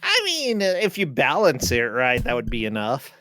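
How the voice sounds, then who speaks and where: A man's voice: medium pitch, huge pitch swings, normal speed, bright, forceful tone, a little hiss or hum. A man chatting casually and playfully as co-commentator on a video game, joking along.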